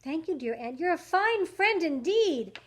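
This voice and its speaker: A woman's voice: extremely high pitch, very animated, a little slow, a bit bright, pitched above the performer's own voice, heavily acted. A woman voicing an eager, scatterbrained male cricket in a rhyming storybook, exclaiming politely and getting flustered. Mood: polite pleading.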